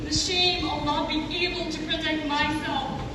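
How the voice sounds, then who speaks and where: Anguished young female diarist, woman reading on stage, very high voice, solemn, drawn-out, heavily reverberant hall. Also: flat delivery. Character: anguished young female victim writing in her diary. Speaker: student teacher performing in a readers' theatre. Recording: very echoey hall, distant mic, noisy.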